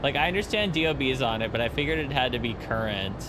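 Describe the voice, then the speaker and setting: A man's voice: medium pitch, animated, fast, background noise. A man in his early twenties chatting casually with his stream viewers while flying, with rambling asides. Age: 20s. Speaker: flight sim streamer.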